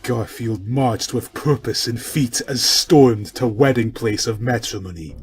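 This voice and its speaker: A man narrating a comic story with dramatic, deliberately stilted mock-epic phrasing. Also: medium pitch, faint music behind.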